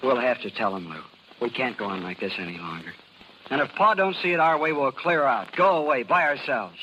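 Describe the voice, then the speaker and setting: Casting: actor for ardent young American country lad, boyish and plain-spoken, highly animated, noisy old radio drama. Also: male voice, a little fast, dull audio, lightly acted. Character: earnest lovesick country youth, naive carnival barker's son. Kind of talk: 1930s radio acting. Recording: noisy vintage 1930s radio recording.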